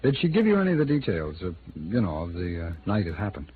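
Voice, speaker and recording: A man's voice: low-pitched, huge pitch swings, quick pace. Muffled vintage-radio male attorney, wry and evasive. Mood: hesitant, defensive. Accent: American. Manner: wry, hesitant, conversational. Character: evasive, worldly male small-town city attorney. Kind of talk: 1950s radio drama acting. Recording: muffled, noisy old radio drama recording.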